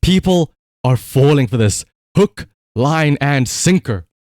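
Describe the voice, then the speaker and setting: Man, livestream host, fairly high pitch, dry room-free sound, conversational, rambling, emphatic commentary. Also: animated, slow, darkish tone, dry recording. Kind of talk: casual livestream commentary to chat.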